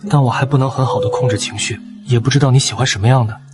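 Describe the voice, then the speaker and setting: A young man playing an earnest, easily flustered noble heir, hesitant and insecure, in an animated costume drama. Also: mid-range voice, rapid-fire, music in the background, close to an everyday voice. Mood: sincere, apologetic.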